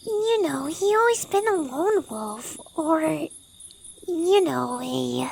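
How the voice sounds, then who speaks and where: A performer playing a mild, easygoing young fox boy in a dramatised audiobook, soft and reassuring. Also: sits high, expressive, takes it slowly, gravelly, a little hiss or hum, full character voice. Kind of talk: dramatised audiobook character acting.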